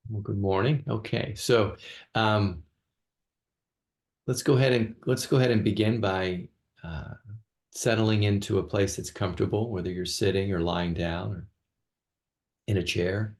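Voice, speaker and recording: A man leading an unscripted guided meditation as a teacher, speaking haltingly with long pauses and repeated words. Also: fairly low pitch, fairly even intonation, creaky, a little mellow.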